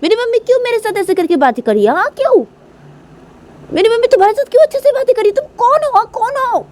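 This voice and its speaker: Casting: woman playing jealous sulky girl for story drama; raised pitch, whiny, accusing, repetitive demands. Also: lively intonation, fast talker, darkish tone. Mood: furious, demanding. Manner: whining, repetitive, demanding questions. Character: angry, jealous, sulking girl confronting her mother and rival.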